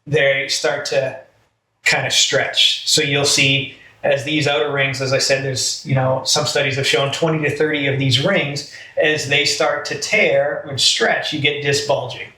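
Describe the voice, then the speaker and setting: A man's voice: medium pitch, steady tone, measured pace, pushed and bright. A man explaining medical matters conversationally, as an expert guest on a health podcast. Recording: roomy echo, faint background noise.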